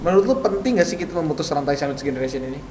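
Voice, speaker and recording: Very fast-talking man, echoey. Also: on the high side, animated, background noise. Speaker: interviewer. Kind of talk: casual interview questions. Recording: echoey, distant room sound.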